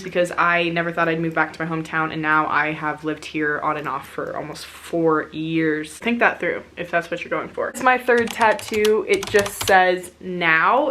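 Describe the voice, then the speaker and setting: A woman's voice: on the low side, sharp tone. A young woman in her twenties talking casually to camera, chatty and candid, with easy laughs and casual swearing. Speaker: vlogger. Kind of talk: casual talking-head chat.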